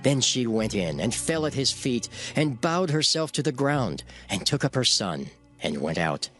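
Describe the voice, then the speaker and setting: Male narrator, lively intonation, medium pitch, solemn, measured, scripted scripture reading, faint music.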